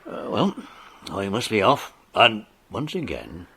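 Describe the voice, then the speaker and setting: An actor playing a hearty, authoritative police sergeant in an audiobook drama. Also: male voice, fairly low pitch, huge pitch swings, slow, creaky, sharp tone, slightly rough, heavily acted. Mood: jovial, informative. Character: hearty, brisk police sergeant, grateful to child detectives. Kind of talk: audiobook radio-play dramatisation.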